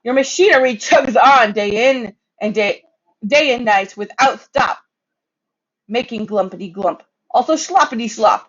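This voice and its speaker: A reader voicing a small, scolding old tree-guarding creature from a storybook, croaky, indignant and emphatic. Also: mid-range voice, measured pace, a bit bright, highs cut off, voice pushed up. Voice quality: coughing, croaky.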